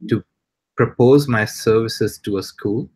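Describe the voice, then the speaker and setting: Low-voiced male host reading questions. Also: a little slow, darkish tone, husky, no echo. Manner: brief, hesitant fillers between reads.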